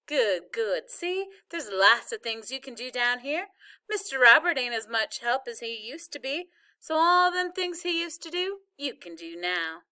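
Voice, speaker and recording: A high, bright old Southern grandmother, played by a woman narrator. She is feisty and loving, with an emphatic, folksy delivery suited to a storybook. Her voice rings out clear. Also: lively intonation, unhurried. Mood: affectionate. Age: older. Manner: folksy, emphatic, scolding yet fond.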